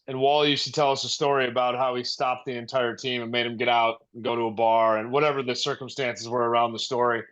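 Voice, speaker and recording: A man telling anecdotes as a podcast guest in a casual, animated storytelling way. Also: mid-range voice, clear, resonant tone, lo-fi sound. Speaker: podcast guest, former baseball player. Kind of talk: casual reminiscing chat.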